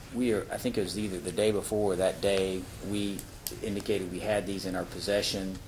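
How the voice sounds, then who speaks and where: Male prosecutor, lowish pitch, plain-spoken and repetitive argument to a judge; roomy, very noisy court recording. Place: indoor courtroom. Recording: echoey courtroom recording with loud noise.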